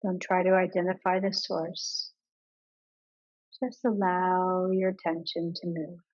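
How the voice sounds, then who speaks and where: A woman leading a guided yoga nidra meditation in a calm, measured manner with pauses between instructions. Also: fairly low pitch, dull audio.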